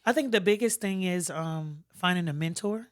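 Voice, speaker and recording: A middle-aged woman talking as an interview guest in a conversational, emphatic, plain-spoken way, with a strong, smooth voice. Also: lowish voice, moderate pace. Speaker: podcast guest, army first sergeant.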